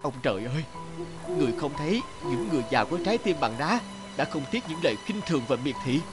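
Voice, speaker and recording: Humble, plaintive poor villager. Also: male voice, a little high, big pitch swings, fast, loud music behind the voice. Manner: meek, plaintive, naive. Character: humble, earnest poor young villager, husband and father. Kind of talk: Vietnamese fairy-tale cartoon dubbing.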